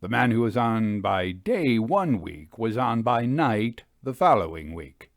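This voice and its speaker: A man reading a literary ghost story aloud in a measured, deliberate storytelling manner, with dramatic pauses. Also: on the low side, sing-song, vocal fry, no room sound, clean studio sound. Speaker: audiobook story reader.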